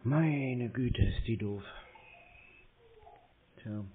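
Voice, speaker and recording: Slow-talking, laconic man on a call. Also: medium pitch, phone-quality sound, a little hiss or hum. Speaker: scam-baiter posing as a customer on a phone call. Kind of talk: casual phone talk.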